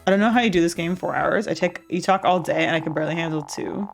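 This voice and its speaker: Casting: young woman streamer, chatty, slightly low voice, faint music under. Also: quick pace, vocal fry. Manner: chatty, laughs often, many restarts. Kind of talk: casual game commentary, reading dialogue aloud.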